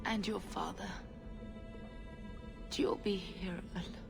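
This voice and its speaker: An actress playing a dying middle-aged mother in a video game, tender and proud, breathy and frail. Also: fairly low pitch, fairly even intonation, slow, over music. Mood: tender, fading. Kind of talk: dramatic video game acting.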